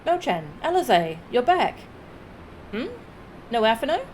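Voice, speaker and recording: A woman's voice: a little high, big pitch swings, a little slow, darkish tone, a little hiss or hum, pitched above the performer's own voice. Woman voicing an emphatic, headstrong young resistance heroine. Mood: surprised, welcoming. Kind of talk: dramatic read-aloud of video game dialogue.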